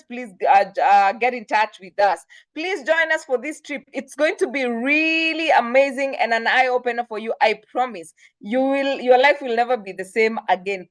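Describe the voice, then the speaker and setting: A woman's voice: fairly high pitch, no echo. A woman with a Kenyan accent, hosting with effusive, promotional announcements. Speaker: host. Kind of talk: enthusiastic event announcements and guest introduction.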